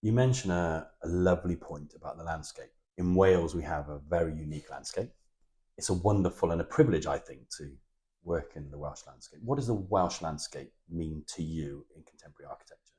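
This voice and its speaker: A man's voice: a bass voice, fairly quick, a little mellow. A man interviewing an architect, asking open questions in an admiring, encouraging way. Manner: admiring, encouraging, with many short affirmations.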